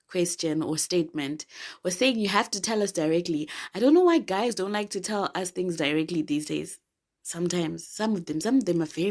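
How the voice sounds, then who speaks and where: A young woman from Zimbabwe hosting her podcast, slightly raspy from a cold, chatting casually, laughing often and getting emphatic. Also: mid-range voice. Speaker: podcast host. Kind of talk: casual solo podcast talk.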